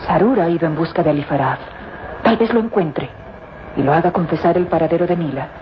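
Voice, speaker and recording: An actress playing an explorer's earnest, thoughtful grown daughter with measured old radio drama delivery. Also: female voice, lowish voice, close to an everyday voice. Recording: dull, noisy vintage radio recording.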